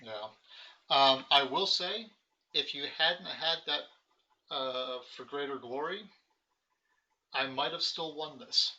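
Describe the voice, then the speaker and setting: An American man co-hosting a card game stream, talking in a loose, rambling way full of "like" and "um". His tone is very bright and forward. The stream audio sounds a bit dull and lo-fi. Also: on the high side, slow, long pauses. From United States. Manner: relaxed, rambling, many fillers. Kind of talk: casual post-game chat.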